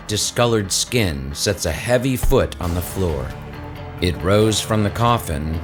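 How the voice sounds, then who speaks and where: A man reading dramatic scripted narration for an audio story, with music behind him. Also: on the low side.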